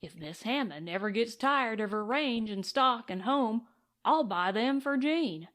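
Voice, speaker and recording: A woman voicing an excited, grateful cowboy gold prospector in an audiobook western, with folksy, boastful talk. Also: a little high, unhurried. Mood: grateful, eager.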